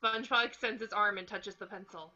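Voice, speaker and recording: A woman's voice: mid-range voice, fairly even intonation, brisk, crowd noise. A woman narrator reading stage directions from a script, recorded with slightly dull, lo-fi sound.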